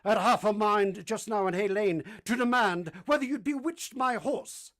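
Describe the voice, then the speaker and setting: An actor playing an imperious, blunt middle-aged English master of the house in a vintage-style radio drama. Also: male voice, high-pitched, normal speed, a bit bright. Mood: stern, probing.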